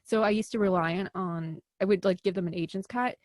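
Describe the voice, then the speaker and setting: A woman's voice: mid-range voice, a little fast, no room sound, studio quality. A young woman with a Chicago accent, chatting casually in an interview and laughing often between many fillers. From American Midwest. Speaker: actor, interview guest.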